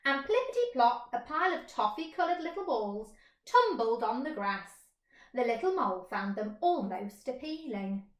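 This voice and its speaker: A woman's voice: fairly high pitch, big pitch swings, normal speed. A woman teacher reading a children's story expressively in a roomy space.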